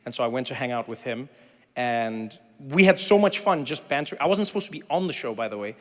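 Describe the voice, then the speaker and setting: A middle-aged man from Africa, doing animated stand-up comedy and crowd work, breaking into shouted impressions and teasing banter. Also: medium pitch, brisk. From South Africa. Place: stage in a large theater before an audience. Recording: live theater with long hall reverb and faint room noise.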